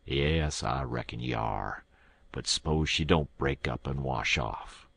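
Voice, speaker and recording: A man voicing a brutal, sullen river gangster with a gruff voice and a rustic drawl in an audiobook. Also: sits low, measured pace, no echo. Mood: grudging. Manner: rustic drawl with folksy dialect. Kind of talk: audiobook character acting.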